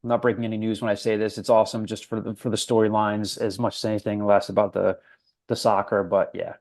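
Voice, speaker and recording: A man's voice: fairly low pitch, flat delivery, quick pace, vocal fry, darkish tone. A young man hosting a casual sports podcast, chatty and self-deprecating, with lots of fillers. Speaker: podcast host.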